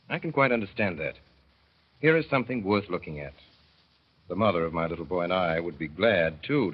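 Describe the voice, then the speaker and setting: A man narrating a 1930s radio drama as a father, in a wry, mournful storytelling manner that slows to dwell on sad images. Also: low-pitched, slightly rough. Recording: dull old radio broadcast.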